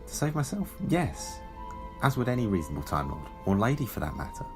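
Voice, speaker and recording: An actor playing an urgent, stern Time Lord ex-soldier in an audio drama, insistent and formal. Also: male voice, on the low side, big pitch swings, a little fast, music in the background. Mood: insistent, grave.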